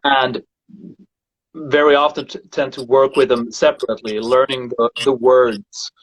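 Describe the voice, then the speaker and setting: A male singer hosting a casual chat about voices, speaking thoughtfully and halting often to find his words. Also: medium pitch, flat delivery, no room sound, low-quality recording. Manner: thoughtful, halting, repeats words while searching. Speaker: singer and show host. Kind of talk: casual conversation about singing.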